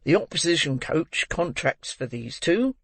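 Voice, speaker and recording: A man's voice: fairly high pitch, big pitch swings, gravelly, slightly rough, no echo, voice pushed up, heavily acted. A man doing a pompous, self-important beadle. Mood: callous. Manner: pompous, stern, drawn-out pronouncements. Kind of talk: audiobook character reading.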